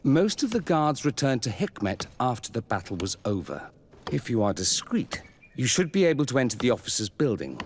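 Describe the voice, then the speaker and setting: A man's voice: mid-range voice, very animated, moderate pace, a little hiss or hum, lightly acted. A man acting a cautious, respectful army camp doctor in a video game, polite and wary. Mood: wary, confiding.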